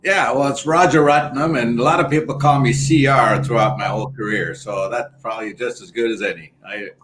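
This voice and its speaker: Brief: reflective guest in his fifties, mid-pitched male voice, from Sri Lanka, on a video call. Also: brisk, noisy background. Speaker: guest, financial advisor. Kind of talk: interview conversation.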